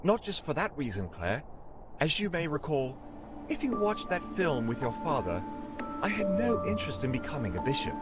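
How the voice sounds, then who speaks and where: A grave but gentle medieval saint in a children's audio drama. His speech is formal and courteous, with an animated rise and fall over prominent music. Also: a little high. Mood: grave, reflective. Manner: formal, measured, dryly polite. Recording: music under the voice.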